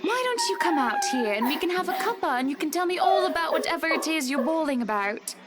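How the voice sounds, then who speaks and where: A woman's voice: high-pitched, sing-song, fast talker, very noisy. A woman voicing the haughty, emotional lady of a haunted mansion in a visual novel. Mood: bossy, coaxing.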